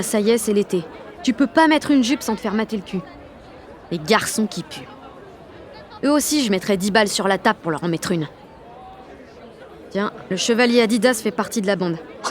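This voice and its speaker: A woman's voice: medium pitch, lightly acted. An actress playing a rebellious, emotional sixteen-year-old girl who narrates an audio drama in blunt, sarcastic slang. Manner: slangy, blunt teenage delivery. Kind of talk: first-person audio fiction narration.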